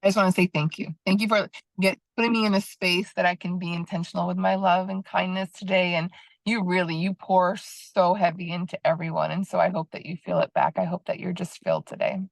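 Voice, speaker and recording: A woman with a low, gravelly voice speaks earnestly and in a rush. Her delivery stays flat, and the sound is dry and close. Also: no room sound. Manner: earnest, rushed words of gratitude. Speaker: participant. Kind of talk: heartfelt thanks on a call.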